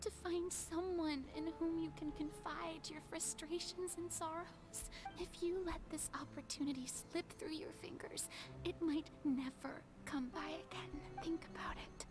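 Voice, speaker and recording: A regal water goddess speaks in a very high, slow, deliberate voice. Grand and theatrical, she turns hushed and anguished, worn down by loneliness. Game music plays behind her. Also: steady tone, in a whisper. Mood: torn, hushed. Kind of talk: game voice acting.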